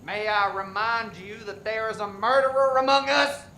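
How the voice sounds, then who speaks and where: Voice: mid-range voice, steady tone, normal speed, bright, forceful tone, roomy sound, slight background noise, natural voice, poor audio. An actor playing a touchy, defensive, accusing widowed husband in a farcical radio play. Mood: suspicious. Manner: indignant, defensive. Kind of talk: farce radio play acting.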